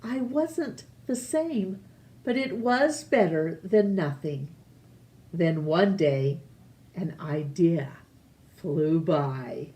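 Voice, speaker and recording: A woman reading a children's picture book aloud, deliberately, with pauses between phrases. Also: fairly low pitch, expressive, mellow. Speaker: storytime reader.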